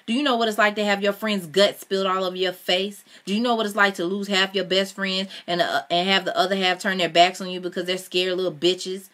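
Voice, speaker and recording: A woman's voice: low-pitched, fairly quick, few pauses, fairly bright tone. A woman voicing a proud, hurting man in a rage. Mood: angry. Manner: heated, demanding rhetorical questions.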